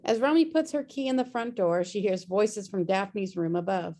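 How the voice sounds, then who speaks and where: A woman's voice: medium pitch, moderate pace, dark tone. A woman narrating stage directions from a script during a table read on a video call.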